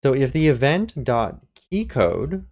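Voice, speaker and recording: A man's voice: mid-range voice, sing-song, takes it slowly, a little mellow. A man narrating an informal step-by-step programming tutorial as the instructor, explaining things and answering his own questions.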